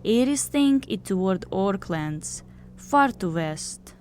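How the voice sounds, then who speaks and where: A woman's voice: medium pitch, lively intonation, takes it slowly, darkish tone, slight background noise. A woman voicing a fussy, affectionate young female harpy who talks in broken third-person speech in a fantasy audio story. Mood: matter-of-fact.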